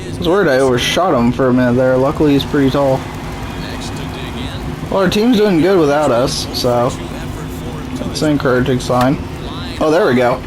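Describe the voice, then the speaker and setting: A man's voice: mid-range voice, animated, moderate pace, pauses often. A young man with a sore, strained throat, casually commenting on his own baseball game. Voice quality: voice strained and rough. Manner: laid-back, self-deprecating. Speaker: gaming YouTuber. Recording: noisy home recording.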